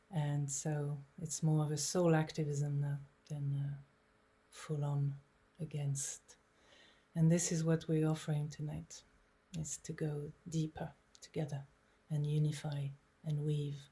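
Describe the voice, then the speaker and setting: Calm older woman leading a prayer on a video call, slow and low, warm soft sound. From Lebanon. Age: 60s. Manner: calm, gentle, inviting. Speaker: prayer facilitator. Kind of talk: guiding an online prayer gathering. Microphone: video call microphone.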